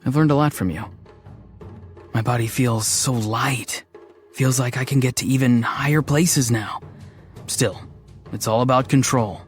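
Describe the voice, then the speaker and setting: An actor voicing a gentle, odd, introverted young man in a video game, speaking in an earnest, hesitant, matter-of-fact way. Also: mid-range voice, a little slow, long pauses, soft background music, close to an everyday voice. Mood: earnest, calm. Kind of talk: scripted game character lines.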